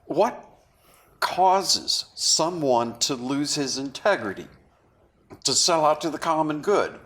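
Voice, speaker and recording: An actor at a table read playing a remorseful, philosophizing man, with a halting, confessional and earnest manner. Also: a little high, expressive, a bit bright. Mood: earnest, pondering. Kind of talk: read-through of a stage drama script. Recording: clearly noisy room recording.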